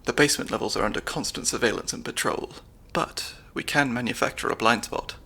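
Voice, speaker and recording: A man's voice: medium pitch, fairly quick, gravelly, husky, full character voice. A young man voicing a nerdy, slightly anxious stand-in spy handler with dry wit, in an ASMR audio roleplay. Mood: analytical. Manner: analytical, dry wit, soft-spoken.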